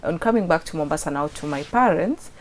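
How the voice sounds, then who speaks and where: Kenyan coast lady, young, chatty guest in an interview, voice a bit on the low side. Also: brisk, poor audio. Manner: chatty and animated, with restarts. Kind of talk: casual interview.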